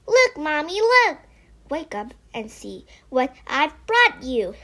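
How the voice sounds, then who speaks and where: Small fox cub, boy, woman performer: high, breathless, spluttering with eagerness, storybook style. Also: very animated, takes it slowly, bright, forceful tone, exaggerated voice. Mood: excited.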